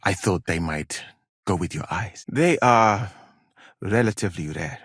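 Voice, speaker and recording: A learned, gallant medieval healer from Mali for a video game. His voice is low and husky, with lively swings in pitch. He chooses his words formally and courteously, with a gentle manner. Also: dry recording, clean studio sound, heavily acted. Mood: gentle, admiring. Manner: courteous, measured, formal, careful wording. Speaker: video game character, physician. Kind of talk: acted video game dialogue, formal phrasing.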